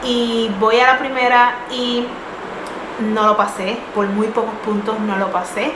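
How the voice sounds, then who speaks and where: A woman's voice: fairly high pitch, level tone, a little slow. A young woman in her twenties earnestly telling a personal story, with many fillers and restarts. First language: not English. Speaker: vlogger. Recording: echoey room with noise.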